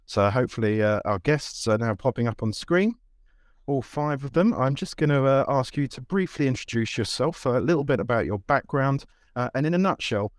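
A man introducing a topic and moderating a panel discussion in a measured, scripted way. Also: medium pitch, lively intonation, no echo, clean studio sound.

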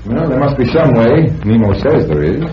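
An American actor playing a confident, unflappable magician hero in a radio mystery, breezy and wry. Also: male voice, mid-range voice, big pitch swings, normal speed, natural voice. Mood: calm, reasoning. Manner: breezy, wry, self-assured. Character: confident, unflappable male stage magician hero, wryly amused. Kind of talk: old-time radio mystery acting. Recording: muffled, noisy old radio transcription.

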